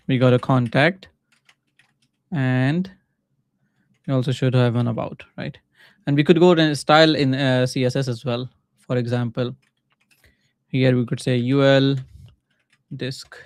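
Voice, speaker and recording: Clear-voiced Pakistani man, casual and halting. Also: mid-range voice, a little mellow, no echo. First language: Urdu. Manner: thinks aloud in short halting phrases. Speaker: livestreaming coder and mentor. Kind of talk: casual live coding commentary.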